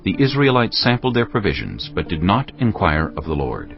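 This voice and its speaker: A man narrating a dramatized Bible reading in a solemn, measured storytelling manner. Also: low voice, wildly swooping pitch, gravelly, muffled recording. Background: background music.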